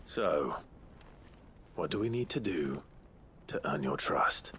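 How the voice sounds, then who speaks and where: An actor in a cinematic video game playing a stoic, determined traveller, blunt, measured and level-headed. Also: male voice, very deep voice, lively intonation, a bit bright, a little hiss or hum, full character voice. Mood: resigned, terse.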